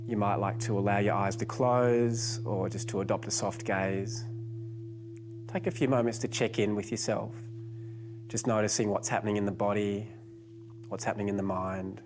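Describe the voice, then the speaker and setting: A man narrating a guided meditation as the guide, giving gentle, unhurried instructions with pauses. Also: fairly low pitch, steady tone, over music.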